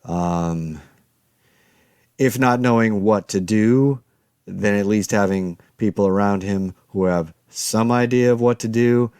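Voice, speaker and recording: A man playing an anxious, wry survivor of a zombie apocalypse, talking through his thoughts in a halting, exasperated audio drama monologue. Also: fairly low pitch, a little mellow, smooth, dry recording, clean studio sound.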